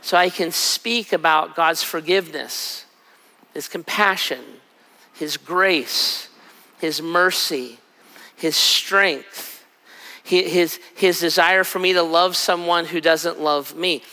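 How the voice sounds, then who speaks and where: A middle-aged man preaching a sermon in a conversational way, his voice raspy from travel. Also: a little high, sharp tone. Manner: conversational, acts out quick asides. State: raspy from a cold trip. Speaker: pastor. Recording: hall with long reverb.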